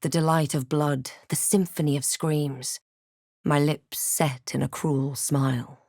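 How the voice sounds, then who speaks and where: A woman playing a wry, watchful adventurer in a fantasy video game, delivering measured, understated dramatic narration and short remarks. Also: sits low, fairly even intonation, a little mellow, no room sound, studio quality. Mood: grave, wary. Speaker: player-character voice actor.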